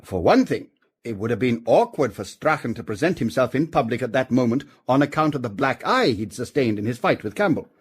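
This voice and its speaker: An actor playing a confident, formal police chief constable in a detective radio drama, reasoning things out in an articulate, deliberate way. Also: male voice, mid-range voice, very animated, slightly rough. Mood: explaining, confident.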